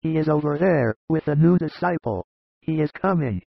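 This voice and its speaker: A man voicing a warm-hearted, plain-spoken male disciple with a fatherly, earnest manner, in an audiobook reading. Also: a little high, animated, moderate pace, creaky, no echo, lo-fi sound, pitched above the performer's own voice, exaggerated voice. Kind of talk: audiobook reading of a religious narrative.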